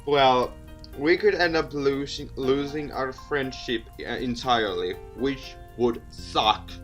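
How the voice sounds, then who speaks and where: A game streamer with a mid-pitched voice reading a story game aloud expressively, with asides and the odd stumble over words. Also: slow pace, faint music behind. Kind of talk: let's play narration with reactions and asides.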